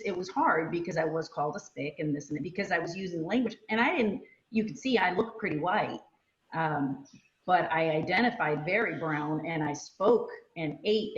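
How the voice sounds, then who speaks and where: A woman whose first language is Spanish, sharing memories reflectively in a casual panel discussion, with many ums and you-knows. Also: on the low side. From United States. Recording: echoey, dull lo-fi sound.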